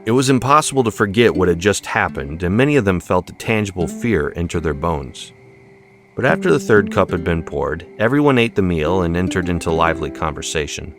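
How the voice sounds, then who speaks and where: Male audiobook narrator, low voice, scripted dramatic storytelling with clear musical underscore. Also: animated, fairly quick. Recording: music behind the voice. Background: background music.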